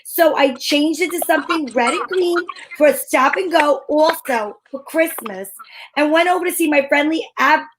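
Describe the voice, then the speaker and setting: Woman acting a wisecracking lady detective in an old radio play, high voice, slangy n wry, kinda loose read. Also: moderate pace, noisy background, close to an everyday voice. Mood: wry storytelling. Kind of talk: amateur reenactment of old-time radio detective drama.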